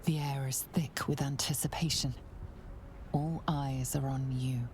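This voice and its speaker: A woman voicing a grave storyteller in scripted game narration, hushed and suspenseful. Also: very deep voice, steady tone, a little slow, a little hiss or hum. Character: grave, hushed female storyteller of fantasy adventure.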